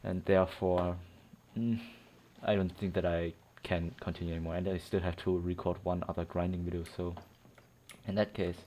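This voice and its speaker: Low-voiced, rambling gamer. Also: male voice, little pitch movement, moderate pace, a little hiss or hum. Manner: rambling, laid-back, amused, with filler words. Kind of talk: casual gameplay commentary. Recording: voice over loud game music.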